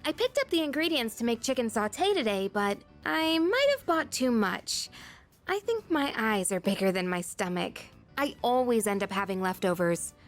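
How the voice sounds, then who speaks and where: A woman playing a chatty, self-deprecating high school girl in a video game. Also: sing-song, normal speed, faint music behind. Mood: chatty, modest.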